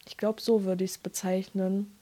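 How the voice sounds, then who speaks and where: A woman youth club worker speaks in a measured, reflective way. Her voice is mellow and soft, and she often pauses to find the right phrase. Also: medium pitch, level tone. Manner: thoughtful, searching for words, measured. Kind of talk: interview clip, reflective explanation.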